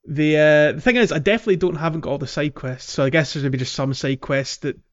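A man's voice: fairly high pitch, fast talker. Guy on a gaming podcast explaining stuff, bit hesitant, british slang, slightly muffled lo-fi audio. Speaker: podcast host. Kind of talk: casual video game walkthrough chat.

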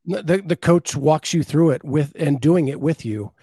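A man's voice: fairly high pitch, normal speed, dark tone, husky, no room sound. A man hosting a podcast, reading listener comments aloud and expanding on them in casual chat. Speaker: podcast host.

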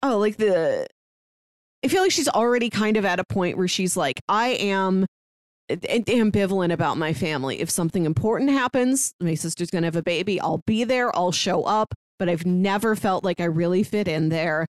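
A woman's voice: lowish voice, lively intonation, moderate pace, no echo. Woman chatting casually in a dry room. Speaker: podcast co-host. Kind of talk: casual film discussion.

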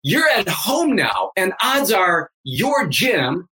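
A man's voice: high-pitched, very animated, slow pace, pushed and bright. A man in his fifties, a fitness coach, talking emphatically and energetically as a guest on a remote interview. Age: 50s. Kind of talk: energetic motivational interview talk.

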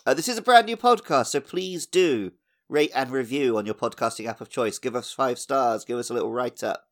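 A man's voice: medium pitch, animated, fairly quick, fairly bright tone. Man in his thirties chatting casually. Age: 30s. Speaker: podcast co-host. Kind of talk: casual comedic gaming podcast chat.

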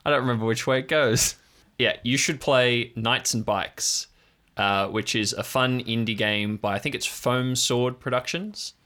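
A man's voice: mid-range voice, measured pace, sharp tone. A man hosting and narrating an improvised audio drama as its game master.

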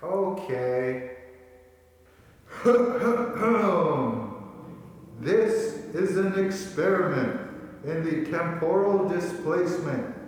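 An actor playing a folksy, wonderstruck amateur inventor in a 1940s radio play, musing in a halting way. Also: male voice, a little high, expressive. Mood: earnest announcing. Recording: echoey hall, distant mic, noisy.

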